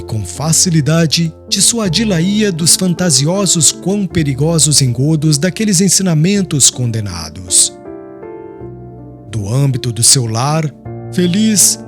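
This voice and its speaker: Expressive male audiobook narrator, lively pitch. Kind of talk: dramatic scripted narration.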